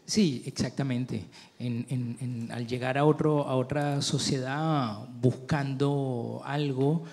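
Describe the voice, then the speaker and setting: A middle-aged man from Chile, a film director answering festival interview questions thoughtfully, with many pauses and restarts. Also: medium pitch, fairly even intonation, creaky, darkish tone.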